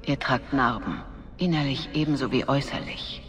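Solemn, hushed older female mentor. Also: very deep voice, moderate pace. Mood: solemn, encouraging. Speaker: voice actress in a video game. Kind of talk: German fantasy game dubbing. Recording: clear music behind the voice.

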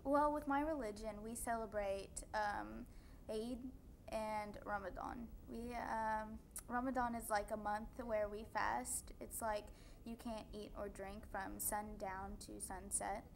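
A woman's voice: mid-range voice, steady tone, slow pace, vocal fry, slight background noise, low-quality recording. A young woman from Kentucky, a student answering interview questions casually with frequent "like" fillers, on a TV studio set. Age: young adult. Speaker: student guest.

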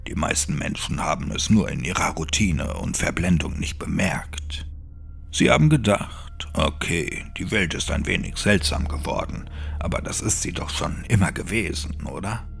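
A gloomy, fatalistic monk, played by a male narrator, speaks in a very low, gravelly hush. His delivery is slow and grave, like one resigned to the end, with music underneath in a horror audiobook. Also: expressive, heavily acted. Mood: resigned, ominous. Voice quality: dark, hushed, breathy. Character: nameless monk, dark-voiced, resigned, gloomy keeper of secrets. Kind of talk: dramatic audiobook character acting.

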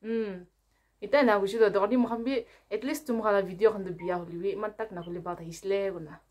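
A woman's voice: mid-range voice, steady tone, fast talker, darkish tone. A young adult woman vlogger in casual prank-call chat, recorded on a phone camera in a small room at home. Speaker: vlogger making prank calls.

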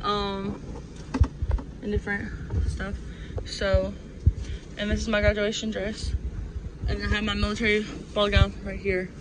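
A woman's voice: medium pitch, measured pace, pauses often. A young adult woman giving a casual, unscripted home tour inside a camper in a rambling, offhand manner. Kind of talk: casual, unscripted show-and-tell. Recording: noisy handheld recording, steady background noise.

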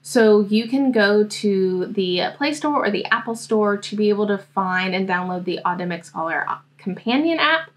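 A young American woman giving a casual software tutorial, explaining things step by step. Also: mid-range voice, animated, normal speed, few pauses. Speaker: host, academic coach demonstrating software. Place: indoor room, seated at a desk.

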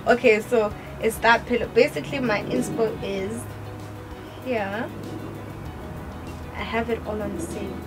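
Giggly teen girl rambling slowly. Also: fairly high pitch, steady tone, music in the background, poor audio. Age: teens. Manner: giggly, rambling, lots of fillers. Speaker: daughter on a couch chat. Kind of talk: casual chat. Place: living room, on a couch.

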